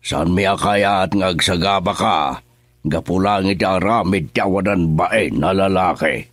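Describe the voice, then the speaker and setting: A man's voice: sits low, a little slow, fairly bright tone. An actor playing a worried, stern middle-aged father in a radio drama, talking earnestly and scolding. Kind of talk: Ilocano radio drama acting.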